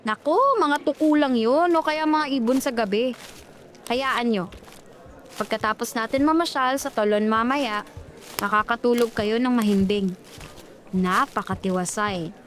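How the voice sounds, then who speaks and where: A woman's voice: a little high, measured pace, pauses often, natural voice. A woman voicing a falsely cheerful young woman in a horror audio story, coaxing and soothing in a sinister way. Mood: insisting.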